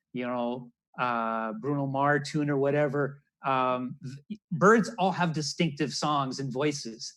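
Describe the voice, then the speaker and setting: A man, giving an educational talk for children as a naturalist over a video call. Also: medium pitch. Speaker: naturalist, storyteller. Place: room at home, indoors. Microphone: wired earbuds on a video call.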